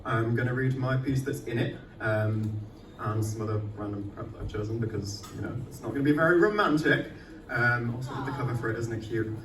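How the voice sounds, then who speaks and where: Man, live poetry performance, mid-pitched voice, sweary and self-deprecating, roomy venue sound. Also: a little fast, few pauses. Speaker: poet performing own work. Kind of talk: live poetry reading with casual banter. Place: indoor arts night venue, live event. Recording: echoey live venue, distant mic, faint noise.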